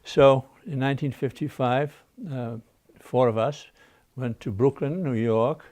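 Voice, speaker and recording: An older man born in the Dutch East Indies and raised in the Netherlands, a guest in a casual interview. He looks back on his past, halting now and then with fillers. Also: mid-range voice, steady tone, a little mellow.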